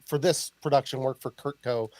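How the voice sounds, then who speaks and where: A man hosting a casual podcast interview, asking questions in halting starts and joking with his guests. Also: medium pitch, fairly even intonation, dark tone. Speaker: podcast host.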